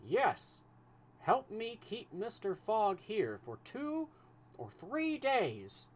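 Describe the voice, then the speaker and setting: A man's voice: sits high, sing-song, very slow, pitched above the performer's own voice, heavily acted. A man reading aloud who voices a scheming middle-aged detective, urgent and emphatic, drawing out his words.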